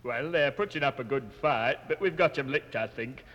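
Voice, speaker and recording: A man's voice: medium pitch, moderate pace, a bit bright, in a large hall, muffled recording, close to an everyday voice. A man playing a boastful mercenary sergeant who answers tersely and self-assuredly. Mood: smug.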